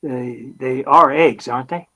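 A man's voice: medium pitch, unhurried, bright, forceful tone. A naive young husband in an old-time radio comedy. He is polite and easily flustered, tripping over his words and hesitating often. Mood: naive, puzzled. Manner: hesitant, stammering.

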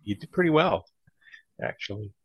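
A man in casual, unscripted conversation. His voice sits at an average pitch and sounds dry, with no room echo. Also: lively intonation, normal speed, husky. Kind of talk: casual chat.

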